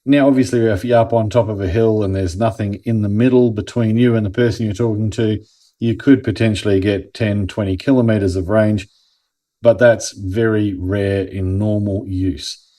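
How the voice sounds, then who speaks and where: A man's voice: lowish voice, steady tone, moderate pace, warm tone. An Australian man giving a casual, conversational product review and explaining things as he goes. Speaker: host of a product review channel.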